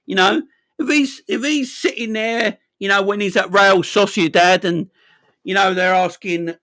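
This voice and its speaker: A man hosting a casual football chat, rambling, animated and a bit sweary. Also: high voice, measured pace, no room sound, clean studio sound. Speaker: football channel host.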